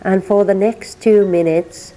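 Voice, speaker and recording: A woman from Finland with a Finnish accent, teaching a gentle yin yoga class and softly cueing breath and movement. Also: medium pitch, fairly even intonation, unhurried. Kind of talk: guided yin yoga instruction.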